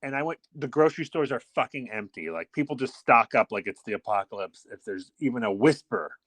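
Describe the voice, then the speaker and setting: A man's voice: mid-range voice, expressive, a little fast, a bit bright, no echo. A man co-hosting a casual podcast by phone, wry and mock-dramatic, with some casual swearing. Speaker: podcast co-host. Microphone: phone call.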